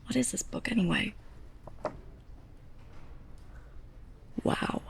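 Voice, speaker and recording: A young woman playing a sarcastic, scolding, snobbish librarian's assistant in a close-up ASMR roleplay, breathy and often near a whisper. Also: fairly high pitch, expressive, brisk, slight background noise. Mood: scornful. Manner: sarcastic, exasperated, pointed delivery.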